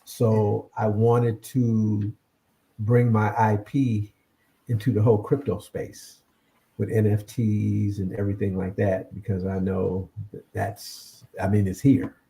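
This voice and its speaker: A man's voice: fairly low pitch, flat delivery, slow pace, vocal fry, dark tone, poor audio. A man chatting casually as an interview guest, a creator who often repeats his words. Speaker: guest, comic and film creator.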